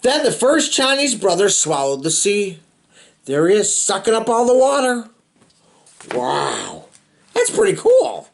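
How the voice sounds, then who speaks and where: A man's voice: sits high, wildly swooping pitch, measured pace, gravelly, slight background noise. A man hosting a children's read-aloud, telling a picture-book story expressively with playful, chatty asides to young listeners. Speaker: storybook reader, host of a read-aloud show.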